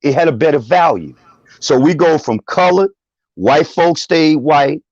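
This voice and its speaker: A man's voice: a little high, a little slow, a little mellow, no room sound, highs cut off. A man calling in to a discussion, defiant and profane, repeating himself for emphasis. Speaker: caller joining the discussion. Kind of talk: opinionated call-in commentary.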